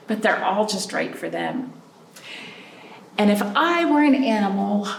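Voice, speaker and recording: A woman hosting a library storytime, reading picture books and chatting to young children in an animated way with simple questions. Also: medium pitch, creaky. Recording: roomy, distant mic with background noise.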